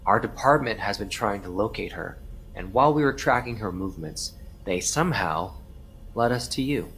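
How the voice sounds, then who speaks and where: An actor playing a skeptical, weary police detective who presses quietly in an audio drama. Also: male voice, on the low side, expressive, moderate pace, soft background music, low-quality recording. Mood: firm, probing. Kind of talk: audio drama acting, interrogation and confessional narration.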